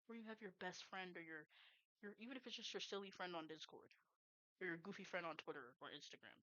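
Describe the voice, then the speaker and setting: Young woman streamer, lowish voice, earnest and rambling, dry slightly muffled desk-mic sound. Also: quick pace, pushed and bright, no echo. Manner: earnest, rambling, heartfelt, with casual swearing. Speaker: streamer and podcast host. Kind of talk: casual livestream monologue.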